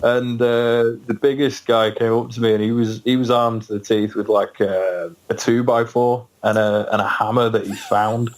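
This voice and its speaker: A man's voice: medium pitch, flat delivery, normal speed, few pauses. A man, a band's guest on a podcast, telling a story hesitantly with many ums and frequent laughs. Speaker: guest musician in a band. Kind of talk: casual podcast interview storytelling.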